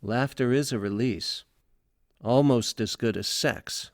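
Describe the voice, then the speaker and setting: A man's voice: medium pitch, slow, no room sound, clean studio sound. A man playing an anxious, protective man in a radio play, earnest and wordy as he cautions. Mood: gentle concern. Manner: urgent, earnest, wordy explanations.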